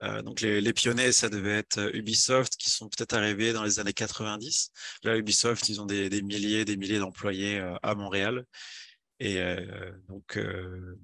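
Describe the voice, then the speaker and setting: A young man from France talks through his slides in a halting, casual way, pausing on fillers and restarting his sentences. His voice sits a little low but sounds bright and forward. The recording is dry and close, with no room sound. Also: level tone, gravelly, dry recording. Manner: hesitant, many fillers and restarts. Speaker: video game developer.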